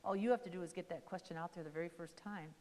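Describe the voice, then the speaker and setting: A woman's voice: fairly low pitch, steady tone, fast talker, darkish tone. A friendly, chatty woman social worker speaks at a community seminar. Her talk is loose and rambling, full of little fillers. Her voice carries the long echo of a church meeting hall. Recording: echoey hall.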